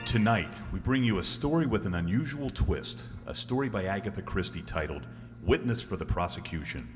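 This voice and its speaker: A man's voice: fairly low pitch, animated, brisk, faint music behind. A man announcing a radio drama in old-time broadcast style, on a reverberant recording.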